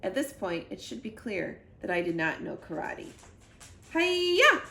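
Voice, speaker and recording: A woman's voice: lowish voice, normal speed. A woman reading a children's story aloud expressively, as a library storyteller. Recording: roomy echo with clear background noise.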